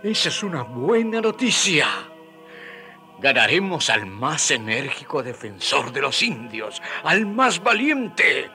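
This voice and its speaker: A devout, excitable friar in a radio serial. The voice is fairly high and very bright, drawn out slowly in grand exclamations, with music behind. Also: male voice, full character voice. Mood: elated. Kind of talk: radio drama acting.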